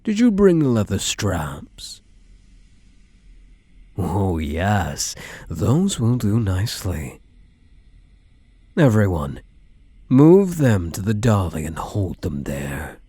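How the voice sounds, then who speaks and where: A low, dark voice with a slightly husky grain belongs to a man acting a possessive yandere kidnapper on his wedding day. He doles out smug, mock-sweet endearments and quiet threats, swinging expressively in pitch. It is ASMR roleplay drama with a menacing, doting edge. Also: wildly swooping pitch, no room sound, heavily acted. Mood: smugly commanding. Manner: slow, taunting, mock-tender endearments.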